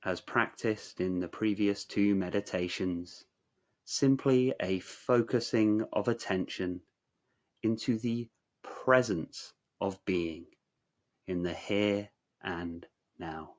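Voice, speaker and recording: A man narrating a guided meditation in a measured, soothing, instructional way, leaving long pauses. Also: fairly low pitch.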